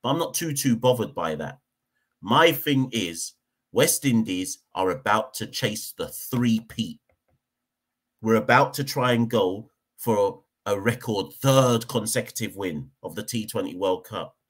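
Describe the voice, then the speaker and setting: Emphatic man, lively low voice. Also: unhurried, slightly rough. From Jamaica. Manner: emphatic, repeats points for stress. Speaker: cricket podcast host. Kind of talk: heated opinion commentary.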